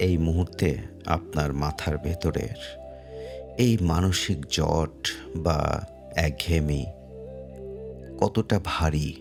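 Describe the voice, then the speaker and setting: A man narrating a guided meditation in a measured way, pausing between short phrases. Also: rumbling low, vocal fry. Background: faint music.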